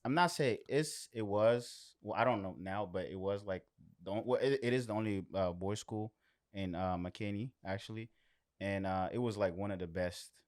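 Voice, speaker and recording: Male podcast guest, low voice, casual and emphatic storyteller who repeats himself. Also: normal speed, darkish tone. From Suriname or abroad, later moved away.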